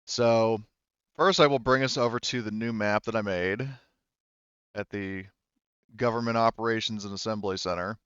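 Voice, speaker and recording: A man narrating a tabletop game casually as game master, in a halting, conversational way. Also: lowish voice, fairly even intonation, a bit bright, smooth, no room sound, lo-fi sound.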